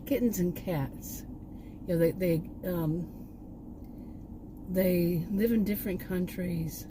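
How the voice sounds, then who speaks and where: Lady vlogging outside, kinda low voice, going hoarse, wanders off mid sentence a lot. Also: fairly even intonation, slow pace, background noise. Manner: meandering, trails off and restarts. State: losing her voice. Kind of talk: rambling casual chat. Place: outdoors.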